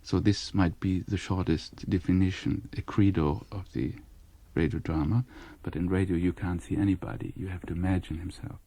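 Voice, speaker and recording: A man with a German accent gives an interview in a low, dark-toned voice. His English comes in halting, deliberate phrases, recorded very dry. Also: steady tone, no echo. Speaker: radio drama studio director, interviewee. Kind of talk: interview explanation.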